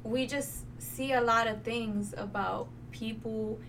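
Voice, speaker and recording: A woman's voice: on the high side, steady tone, takes it slowly, a bit bright. A woman from New York City chatting casually and informally as a podcast co-host. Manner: informal, colloquial. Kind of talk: casual conversational podcast chat. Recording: distant mic, faint background noise.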